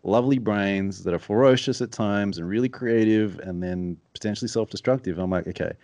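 A man speaking as a podcast guest, with a deep, mumbly voice and a quiet, introverted, reflective way of talking. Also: fairly quick, no room sound. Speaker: podcast guest, author and strategist. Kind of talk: casual interview chat.

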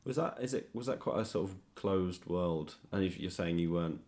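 A man's voice: low-pitched, normal speed, darkish tone. A man hosting a podcast, putting thoughts and questions in a tentative, thoughtful conversational way. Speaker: podcast host. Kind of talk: conversational interviewing. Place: in a home.

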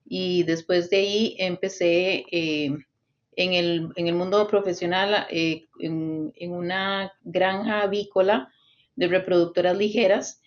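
Costa Rican woman in her forties, low-pitched, a touch dull and lo-fi, measured expert interviewee. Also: steady tone. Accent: Costa Rican Spanish. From Costa Rica. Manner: thoughtful, hesitant restarts and fillers. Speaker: swine nutrition consultant, guest. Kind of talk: interview answers.

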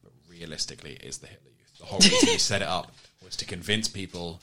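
A man's voice: sits low, wildly swooping pitch, quick pace, pushed and bright. A young man chatting casually as a podcast co-host, telling stories in a wry, sweary way. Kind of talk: casual chat.

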